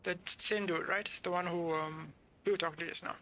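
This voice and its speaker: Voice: medium pitch, fairly even intonation, brisk, background noise. A game streamer giving casual, conversational live reactions, thinking aloud about the story.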